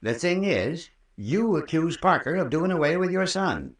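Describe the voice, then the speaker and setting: An actor playing a folksy, patient, long-winded country doctor with a rural American accent in an old-time radio drama. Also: male voice, on the high side, sing-song. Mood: patient recounting. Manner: folksy, unhurried, patient storytelling. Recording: roomy, distant old radio recording.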